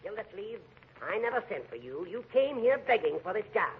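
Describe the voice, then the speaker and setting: An actor with an American accent playing a crotchety, imperious judge in a 1940s radio comedy. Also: male voice, sits high, sing-song, brisk. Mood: stern. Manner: imperious, scolding, sarcastic. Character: crotchety, easily offended male judge ruling his courtroom. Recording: muffled, noisy 1940s radio recording.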